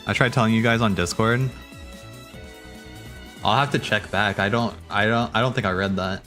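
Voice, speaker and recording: A man hosting a livestream, commenting casually on game cutscenes in an excitable, rambling, slangy way. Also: on the low side, moderate pace. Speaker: livestream host. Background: game soundtrack music.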